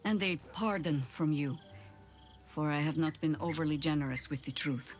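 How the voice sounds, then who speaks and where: An actress playing a weary, proud older woman adventurer in a video game, speaking deliberately, with wry and formal phrasing. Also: deep voice, faint music behind, lightly acted. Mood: brisk, wry. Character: weary, proud older female veteran adventurer, guilt-ridden and stubborn. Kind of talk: dramatic video game dialogue.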